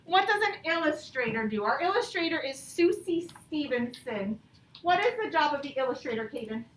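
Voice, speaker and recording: High-pitched woman teaching children, roomy distant sound. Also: animated, normal speed, a bit bright. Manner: instructive, checks understanding with questions. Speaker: elementary school teacher. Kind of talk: teaching young children and reading a storybook aloud. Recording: roomy, distant mic with faint noise.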